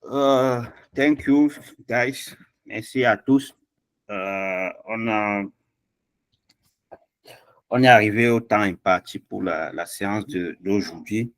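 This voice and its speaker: A man's voice: fairly low pitch, slow pace, long pauses, a bit bright, no echo. A man closing an online language class on a video call, speaking hesitantly with many fillers.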